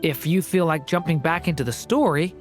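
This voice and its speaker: Low-voiced earnest young boy, played by a woman. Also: a little fast, faint music behind, natural voice. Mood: pensive, earnest. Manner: hesitant, thoughtful, polite. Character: gentle young dragon boy, earnest and unsure. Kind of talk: video game voice acting.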